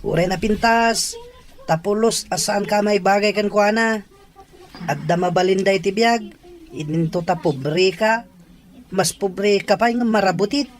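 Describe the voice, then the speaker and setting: A woman's voice: mid-range voice, a little slow, lightly acted. A woman playing a mocking, boastful male student and romantic rival in an audio drama, with a taunting manner.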